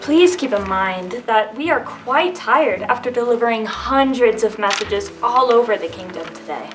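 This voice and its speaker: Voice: medium pitch, lively intonation, normal speed, over music, close to an everyday voice. A female performer playing a courteous fantasy flying creature in a children's reader's theater play, recorded at a distance. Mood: weary.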